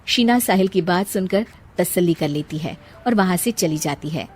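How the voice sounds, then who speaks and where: A woman's voice: lowish voice, lively intonation, normal speed, heavy background noise. A woman reading scripted narration for a family moral-story cartoon.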